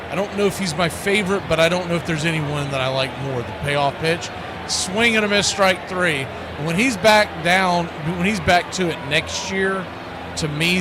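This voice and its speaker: Male baseball commentator, American accent, higher-pitched voice, casual chat between calls, loud noise behind. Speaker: baseball play-by-play announcer.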